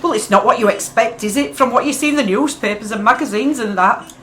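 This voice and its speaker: Actress as chatty Yorkshire mum, high pitch, sharp bright tone, exclaiming, easily amazed, radio drama. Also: female voice, fast talker, slight background noise, natural voice. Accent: Yorkshire, Wakefield. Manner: nattering, exclaiming. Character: chatty, wide-eyed provincial working-class mother. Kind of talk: radio play acting.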